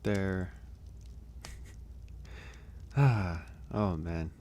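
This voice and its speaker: A man playing a gentle, solitary werewolf in an ASMR audio roleplay, speaking softly and hesitantly, with sighs and pauses. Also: sits low, a little hiss or hum. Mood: sheepish, amused. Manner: hesitant, soft-spoken, unhurried with sighs and pauses. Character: gentle solitary male werewolf caring for an injured stranger.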